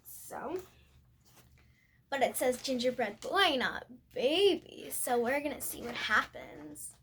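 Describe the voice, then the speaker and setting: A young girl with a high, bright voice reads a storybook to viewers. She goes slowly and haltingly, going back to fix words, and chats casually in between. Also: expressive, a little hiss or hum. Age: child. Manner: halting reading, repeats and corrects words. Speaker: young video host reading aloud. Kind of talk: storybook read-aloud with casual asides to viewers.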